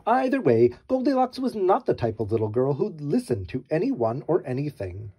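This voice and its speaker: A man's voice: a little high, very animated, normal speed, warm tone, husky. A man reading a children's story aloud with wry, theatrical mock innocence.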